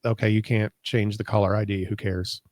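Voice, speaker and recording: A man's voice: fairly low pitch, level tone, fairly quick, a little mellow, no room sound. An American man in a casual tech chat as a co-host, informal and wryly grumbling, with folksy wording. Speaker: podcast co-host.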